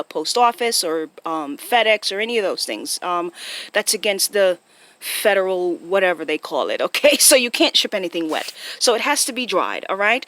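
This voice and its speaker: A woman's voice: medium pitch, a bit bright. A woman with a Jamaican accent giving a casual, impromptu explainer to viewers, often checking in with short tag questions, recorded on a phone. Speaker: online video host.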